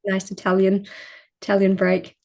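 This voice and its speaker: A woman's voice: medium pitch, fairly quick, creaky. Modest young New woman. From New Zealand. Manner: relaxed, modest, frequent ums and likes. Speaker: podcast guest, runner. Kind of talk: casual interview chat.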